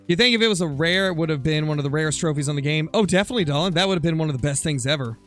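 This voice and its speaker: Male game streamer, slightly high voice, casual chat, thinking aloud, close mic, faint music behind. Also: racing, fairly bright tone. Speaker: live streamer, gamer. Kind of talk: casual livestream chat over gameplay, answering viewer comments.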